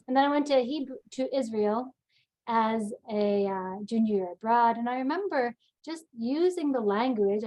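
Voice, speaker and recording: Chatty woman rabbi on a video call, kinda high voice. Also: low-quality recording. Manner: lively, chatty, sings snatches of song. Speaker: synagogue rabbi. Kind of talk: webinar personal remarks.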